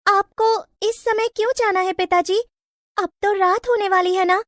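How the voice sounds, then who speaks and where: A woman's voice: extremely high pitch, fairly even intonation, normal speed, a bit bright, voice pushed up. A woman voicing a timid, earnest young village girl in a plaintive, childlike manner for a storybook cartoon. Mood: worried. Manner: plaintive, wide-eyed, childlike.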